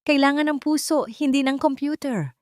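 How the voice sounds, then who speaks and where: An old woman who sells vegetables at the market , voiced by a female storyteller, teasing and amused. Her voice is lifted high, in a dry storybook narration. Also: moderate pace, no echo, lightly acted.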